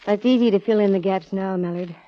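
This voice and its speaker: An American actress playing a sharp female private eye in 1940s radio drama, explaining things with dramatic, measured pauses. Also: medium pitch, lightly acted. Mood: explanatory, brisk. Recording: dull vintage radio recording with music.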